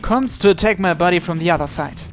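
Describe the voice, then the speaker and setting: A man reading a visual novel aloud in an expressive, dramatic first-person narration with occasional stumbles. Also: high-pitched, fast, soft background music.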